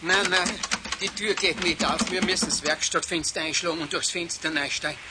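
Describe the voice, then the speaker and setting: An actor playing a gruff, practical caretaker in a children's radio play, talking brusquely with a Bavarian accent. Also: male voice, fairly high pitch, fairly even intonation, normal speed, close to an everyday voice. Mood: urgent, practical. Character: gruff, scolding caretaker, then practical helper. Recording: faintly hissy radio play.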